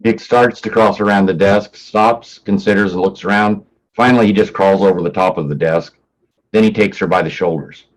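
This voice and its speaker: A man's voice: low voice, fairly even intonation, moderate pace, slight background noise, rough recording. A man reading stage directions as scripted narration for a staged play reading.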